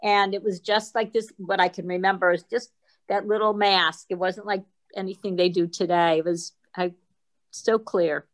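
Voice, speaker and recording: A woman's voice: medium pitch, steady tone, normal speed. Older female, conversational storyteller on a video call. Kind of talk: casual personal storytelling.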